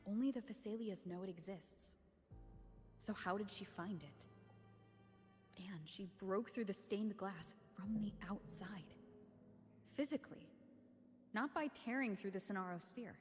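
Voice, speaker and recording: An actress playing a serious, determined young heroine in a video game, speaking in an earnest, deliberate way. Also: female voice, mid-range voice, darkish tone, long reverb tail, soft background music, lightly acted. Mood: puzzled, serious.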